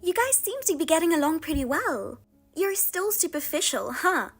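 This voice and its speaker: A woman plays a husband-stealing younger sister in a voiced drama, smug and taunting, her high voice leaping all over as she drags out her words. Music plays underneath her. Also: sing-song, full character voice. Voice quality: high and sing-song, taunting. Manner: mocking, self-satisfied, drawn-out teasing.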